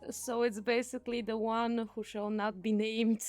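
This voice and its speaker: A woman's voice: a little high, little pitch movement, moderate pace, darkish tone, dry recording, soft background music. A woman hosting a casual interview, reacting appreciatively to her guests. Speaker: interview host.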